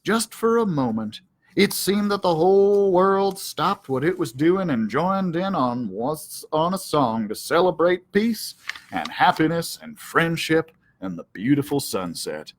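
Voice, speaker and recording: A man's voice: a little high, big pitch swings, measured pace. A man voicing a boastful, folksy ranch dog who narrates his own storybook adventures in a self-important, theatrical way. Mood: proud. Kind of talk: first-person storybook narration.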